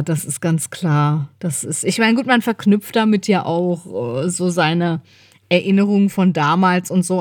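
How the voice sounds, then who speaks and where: A woman with a medium-pitched voice, recorded very dry. She chats casually as a podcast co-host, thinking aloud and often correcting herself in a loose, rambling way. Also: no echo. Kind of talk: casual chat.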